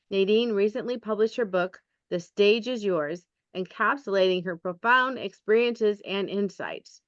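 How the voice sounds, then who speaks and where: A woman's voice: mid-range voice, dry recording, muffled recording. A woman hosting a podcast, reading a guest introduction and then asking questions with small slips. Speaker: podcast host. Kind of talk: read introduction and casual interview.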